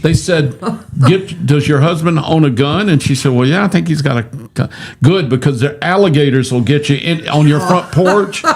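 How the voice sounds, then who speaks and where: A man's voice: a little high, moderate pace, slight background noise. A man chatting casually as an interview host, telling stories in a folksy, self-deprecating way. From United States South. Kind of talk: casual chat, storytelling.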